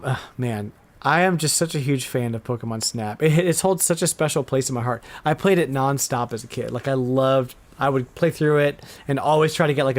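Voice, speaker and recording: A man hosts a podcast about retro games in an easy, conversational style. He sounds enthusiastic, talking in a mid-pitched voice as if among friends. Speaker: podcast host. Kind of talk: casual retro gaming podcast chat.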